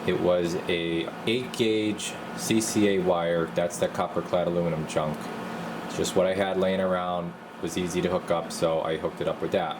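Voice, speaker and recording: A man's voice: deep voice, flat delivery, moderate pace, background noise. A man talking as a repair technician, walking viewers through a hands-on job in an informal, rambling way. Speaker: radio repair technician and video host. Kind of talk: casual how-to demonstration.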